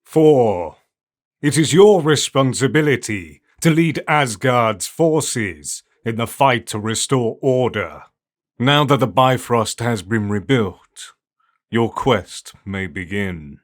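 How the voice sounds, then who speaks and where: A man playing a stern, commanding old king of the Norse gods in a fan audio drama, speaking gravely and formally. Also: mid-range voice, expressive, slow pace.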